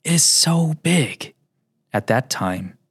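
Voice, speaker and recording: A man hosting a radio show, narrating in a slow, measured, reflective way; his first language is Mandarin. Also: a little high, lively intonation, fairly bright tone, slightly rough, dry recording, clean studio sound.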